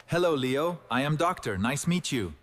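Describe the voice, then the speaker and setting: A man playing a patient cartoon dentist with a fairly high, lively voice. He speaks calm, simple broken English and sounds gentle and reassuring. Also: moderate pace, long reverb tail, faint music behind.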